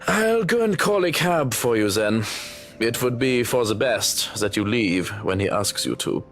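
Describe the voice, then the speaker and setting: An actor playing a courteous, proper family butler in a video game, formal and measured in manner. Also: male voice, mid-range voice, expressive, soft background music. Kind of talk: visual novel voice acting.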